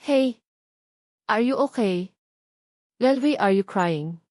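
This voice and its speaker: A woman's voice: mid-range voice, animated, slow pace, a little mellow, no echo, studio quality. A woman with a Filipino accent reading the part of a devoted, tender husband in a romance audiobook, earnest and sentimental. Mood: concerned.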